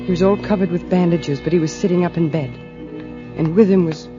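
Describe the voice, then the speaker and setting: An actress playing an anxious, guilt-ridden wife in a 1940s radio drama, both narrating and speaking in character. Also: female voice, fairly low pitch, fairly even intonation, moderate pace, lightly acted. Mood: haunted, subdued. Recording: dull vintage radio recording, music behind.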